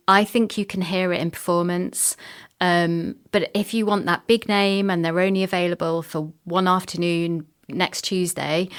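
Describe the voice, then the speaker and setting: A middle-aged woman with a fairly low voice talks casually as an interview guest. She explains her production work in a chatty, unscripted way. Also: clear, resonant tone. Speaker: radio drama producer and sound designer, podcast guest.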